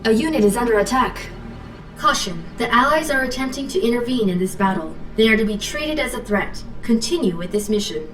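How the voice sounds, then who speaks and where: An actress playing a composed, dutiful Japanese military intelligence officer, giving formal, clipped briefings in a video game. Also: female voice, on the high side, lively intonation, a little fast, echoey room, far from the mic, soft background music, lightly acted. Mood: alert, official.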